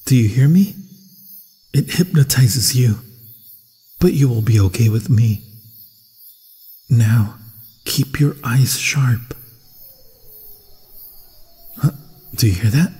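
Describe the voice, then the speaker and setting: A husky, breathy monster hunter, acted out by a man, grieving and sworn to vengeance. He speaks very slowly and solemnly, with dramatic halts, as in a fantasy horror ASMR roleplay. His voice rings in a long, cavernous reverb. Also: medium pitch, expressive, warm tone, full character voice. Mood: reassuring, watchful. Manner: solemn, dramatic, halting delivery with long pauses.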